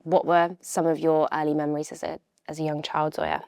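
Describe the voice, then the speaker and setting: A woman interviewer asking brisk, short questions from off camera. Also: sits low, little pitch movement, gravelly, a little mellow, no echo.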